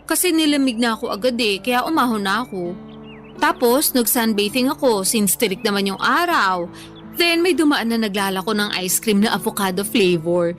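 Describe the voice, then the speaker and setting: A woman's voice: on the high side, expressive, normal speed, fairly bright tone, faint music behind. A woman playing a playful, stubborn, food-loving woman in her thirties, bantering casually in a radio drama. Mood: content, playful. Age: 30s.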